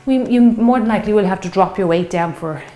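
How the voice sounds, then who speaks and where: Slightly hoarse woman coaching a workout. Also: medium pitch, fast, warm tone, roomy sound, faint music behind. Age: 50s. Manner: encouraging, counting reps while exercising. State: slightly hoarse from a sore throat. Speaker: fitness instructor. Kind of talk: guided workout instruction.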